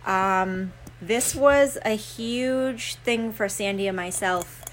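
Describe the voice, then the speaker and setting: A woman's voice: medium pitch, takes it slowly, a little hiss or hum. Chatty Rhode Island woman podcasting. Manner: chatty, laughs often, lots of fillers. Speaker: podcast co-host. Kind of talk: casual chat.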